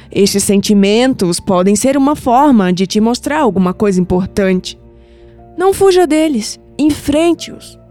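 A woman's voice: medium pitch, dark tone. Actress as solemn, tender Brazilian grandmother, music beneath. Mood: gentle, reassuring. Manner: solemn, affectionate, measured. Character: tender, wise, mystical old grandmother revealing family secrets. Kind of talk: audio drama acting. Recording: music bed under the voice.

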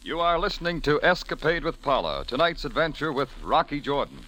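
An American man announces in vintage 1940s radio style. His voice is fairly high and very animated, with music playing clearly behind him. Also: fairly quick, low-quality recording.

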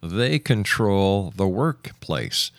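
Male talk radio host, lowish voice, close dry sound, skeptical interviewer who pushes back. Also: expressive, very slow, gravelly, no echo. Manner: probing, skeptical. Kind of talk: radio interview, challenging questions.

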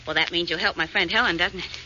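Lady playing a detective's perky young girlfriend, crisp forties radio acting, bright, muffled old broadcast with faint hiss. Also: on the low side, quick pace, natural voice. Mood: eager, coaxing. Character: perky, loyal young woman, detective's sweetheart and helper.

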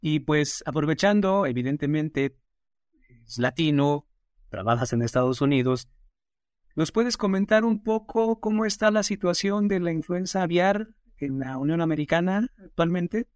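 A man's voice: a little high, lively intonation, moderate pace, darkish tone, dry recording, studio quality. A man, hosting an interview and asking formal, courteous questions. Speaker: interview host. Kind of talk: hosted interview.

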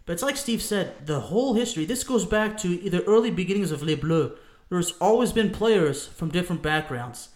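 A man's voice: high voice, animated, moderate pace, a little mellow, reverberant. A man from France co-hosting a sports talk show, emphatic and repetitive, calling listeners "folks". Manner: emphatic, repeats himself, addresses listeners as folks. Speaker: podcast co-host.

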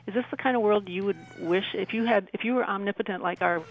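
Female interview guest on a narrow phone-like connection. Gravelly fry in the voice, earnest, explanatory delivery. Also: mid-range voice, fast. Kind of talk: radio interview, explaining beliefs. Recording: tinny, narrow phone-like line.

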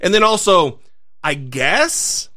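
A man's voice: high voice, very animated, slow, pushed and bright, no echo, clean studio sound. A male podcast co-host talking casually, sarcastic and exasperated, swearing freely.

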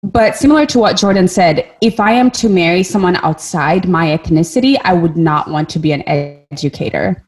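A woman's voice: lowish voice, a little fast, few pauses, darkish tone, low-quality recording. Candid woman from Kenya. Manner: candid, thinks aloud, searches for words. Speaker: discussion participant. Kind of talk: candid group video-call discussion. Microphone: video call.

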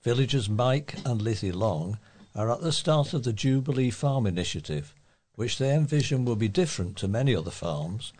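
A man with a fairly low voice reads community news from a written article. He works as a volunteer reader, delivering the scripted report aloud. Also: darkish tone. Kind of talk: read-aloud local newspaper report.

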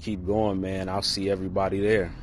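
Man, deep, even intonation, casual testimonial, noisy amateur recording. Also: a little fast. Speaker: customer giving a testimonial. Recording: clearly noisy amateur clip with ambience.

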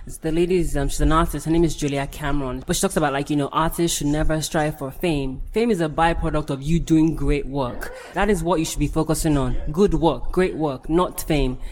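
Bubbly podcast host, faint noise. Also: female voice, deep voice, fairly even intonation, a little fast, few pauses, darkish tone. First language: Yoruba. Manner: bubbly, laughs a lot. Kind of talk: casual interview chat.

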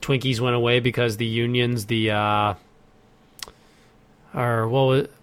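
A man with a mid-pitched voice in casual podcast conversation. He co-hosts and talks informally, with a joking tone. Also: level tone, unhurried, a bit bright, clean tone. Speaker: podcast co-host. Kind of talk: casual gaming podcast chat.

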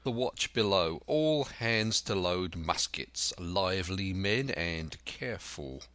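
A man voicing a stern, curt ship's captain who speaks in deliberate, scornful commands in an adventure storybook. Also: sits low, expressive, a bit bright, no echo, exaggerated voice. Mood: commanding. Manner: clipped orders, deliberate, scornful.

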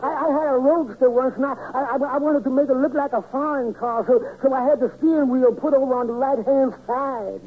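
An actor playing a nervous, simple barber who stammers and keeps abandoning phrases, in a 1940s radio comedy. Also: male voice, extremely high pitch, a little fast, hardly any pauses, slightly rough. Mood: eager, flustered. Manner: severe comic stammer, restarts and abandons phrases. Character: nervous, tongue-tied male barber, eager and simple. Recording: thin, narrow-band old radio recording.

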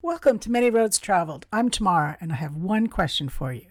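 A woman in her fifties with a raspy voice, hosting a podcast and chatting casually through a travel story, laughing often. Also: fairly low pitch, animated, fast talker, no echo, clean studio sound. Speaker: podcast host.

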